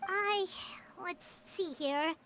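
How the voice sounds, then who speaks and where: Very high squeaky childlike fairy sidekick. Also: female voice, very slow, sharp tone, slight background noise, heavily acted. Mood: unsure. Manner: refers to self in third person. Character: tiny chirpy childlike fairy companion, earnest and friendly. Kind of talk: video game character dialogue.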